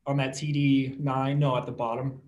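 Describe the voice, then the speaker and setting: Casual male market analyst, mid-pitched. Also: little pitch movement, moderate pace, a little mellow, muffled recording. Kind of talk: casual live chart commentary.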